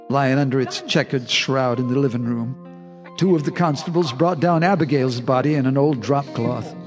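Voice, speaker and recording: A male actor plays a shaken farmer telling a horror tale in a radio drama. His mid-pitched voice is halting and brooding, with soft music underneath. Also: lightly acted. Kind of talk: radio drama first-person narration.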